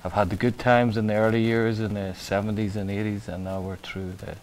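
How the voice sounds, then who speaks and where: A man's voice: on the low side, little pitch movement, fairly quick, darkish tone, slight background noise, poor audio. A middle-aged Irish man from Ireland, a bar owner chatting as a guest with an easygoing, dry-humoured manner. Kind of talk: casual chat.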